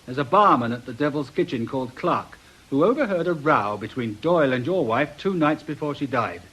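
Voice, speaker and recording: An actor playing a sceptical, down-to-earth police detective in a British radio drama, dry and matter-of-fact with some exasperation. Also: male voice, medium pitch, animated, fairly quick, a bit bright, breathy edge. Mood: matter-of-fact, confident.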